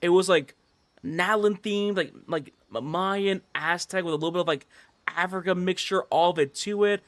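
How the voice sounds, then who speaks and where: A man doing casual livestream commentary over a game, chatting with viewers in an animated, exasperated, repetitive rant. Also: sits high, normal speed. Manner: animated ranting, exasperated, swearing, repetitive emphasis.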